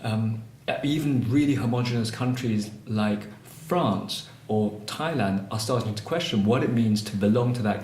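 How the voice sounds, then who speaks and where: A man from Malaysia talks over his writing in a low voice, pausing and restarting as he thinks. The room around him is echoey, with a faint hum of ambience underneath. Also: darkish tone. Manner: hesitant, many ums and restarts. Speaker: interviewee, novelist. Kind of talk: reflective interview answers.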